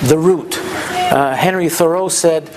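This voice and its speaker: A man's voice: on the high side, unhurried. A man from Hungary gives an informal keynote talk, telling stories conversationally and posing rhetorical questions. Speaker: keynote speaker. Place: symposium venue, indoors. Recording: noisy live room recording, background chatter.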